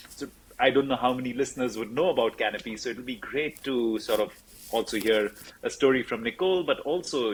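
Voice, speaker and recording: A man's voice: mid-range voice, a little hiss or hum, low-quality recording. A man hosting a podcast interview, rambling and repeating words as he works his way toward his question. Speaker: podcast host.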